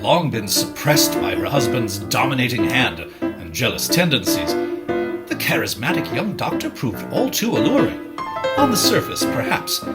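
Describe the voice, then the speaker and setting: A man acting as the narrator of a dark stage musical. He has a mid-pitched voice with dramatic, animated swings and an ominous edge, set against loud plucked guitar. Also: normal speed, close to an everyday voice. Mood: ominous, intrigued. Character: theatrical storyteller narrating a dark, seductive period tale.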